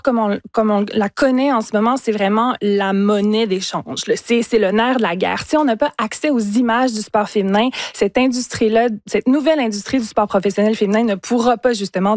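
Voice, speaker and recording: A woman's voice: on the high side, fairly quick, a little mellow, no room sound, clean studio sound. A woman in her thirties with a Quebec French accent, a guest speaking with enthusiasm on a radio panel, fluent with many fillers. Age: 30s. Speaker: independent contemporary art curator, guest.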